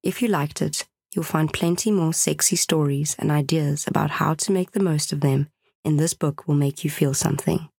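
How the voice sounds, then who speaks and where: A man with a husky, creaky voice reading a scripted audiobook promo as announcer. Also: on the high side, steady tone, normal speed, darkish tone, dry recording, clean studio sound.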